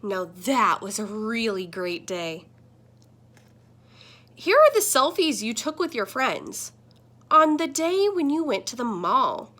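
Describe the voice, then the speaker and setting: A woman voicing a friendly, earnest talking computer screen that gently scolds, in a children's picture book. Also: fairly high pitch, big pitch swings, slow pace, heavily acted. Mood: gently scolding. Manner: earnest, explanatory.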